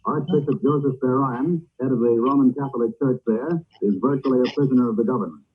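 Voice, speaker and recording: A man's voice: mid-range voice, fast, breathy edge. A man reading the news formally in 1940s radio style, on a muffled old broadcast recording. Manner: formal, declarative. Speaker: radio newscaster.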